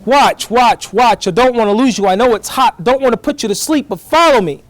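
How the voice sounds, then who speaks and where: A middle-aged man giving a lively college lecture in fast bursts, often checking whether the class is following. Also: very high voice, dry recording. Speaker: guest lecturer, professor.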